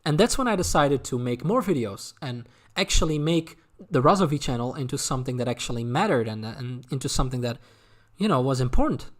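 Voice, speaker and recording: A man with a Dutch accent chatting casually as a streamer, answering viewer questions in a halting way, often repeating words as they think aloud. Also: medium pitch, expressive. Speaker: streamer, anime content creator.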